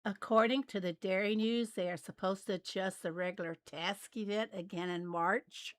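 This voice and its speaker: A woman with a raspy voice, chatting casually with viewers while she plays a farming game. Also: medium pitch, steady tone, no echo. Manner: unhurried, chatty, reads out and answers viewer messages. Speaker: game livestreamer. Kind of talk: casual livestream chat while playing.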